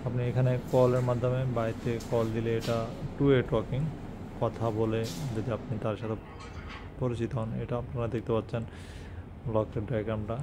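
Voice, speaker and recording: Flat-toned man explaining over loud noise. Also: mid-range voice, moderate pace. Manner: plain instructional, mixes in English technical terms. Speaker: installer presenting a how-to video. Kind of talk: unscripted tutorial narration. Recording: loud ambient and vehicle-like noise.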